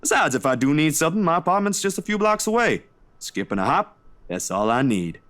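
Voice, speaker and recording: A man voice-acting a steady, easygoing friend in a visual novel, casual and coaxing as he reassures. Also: on the high side, brisk. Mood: gentle, lighthearted.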